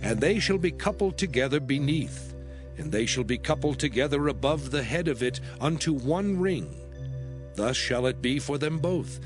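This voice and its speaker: A man narrating a dramatized scripture reading in a solemn, measured, formal manner. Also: medium pitch. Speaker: audio Bible narrator. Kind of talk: dramatized scripture reading from the King James Bible. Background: background music.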